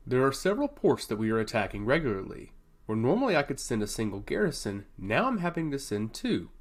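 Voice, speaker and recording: A dutiful, coldly pragmatic commander played by a man addresses his lord in a fantasy tale. He is formal and solemn, and his medium-pitched voice moves through wide inflections. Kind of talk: fantasy audiobook dialogue.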